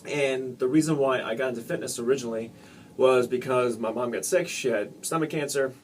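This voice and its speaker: A man's voice: mid-range voice, fairly quick, slight background noise. Laid-back young male fitness coach. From Hong Kong. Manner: casual, laid-back, many ums and uhs. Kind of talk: relaxed interview answers.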